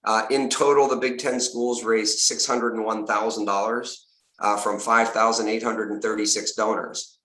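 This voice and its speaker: Slightly hoarse guy thanking donors on a zoom call, lowish voice, roomy echo, lots of uhs. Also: flat delivery, moderate pace, bright, forceful tone. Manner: earnest, upbeat, frequent uh fillers. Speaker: university fundraising executive. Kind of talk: prepared remarks at a virtual donor event. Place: home or office on a video call. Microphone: video call mic. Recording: roomy video call audio.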